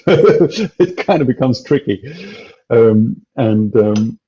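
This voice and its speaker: A man's voice: medium pitch, animated, extremely slow, mellow, husky, lo-fi sound. A man organizing an event, talking casually on a video call, hesitant with many fillers and restarts. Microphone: headphones on a video call.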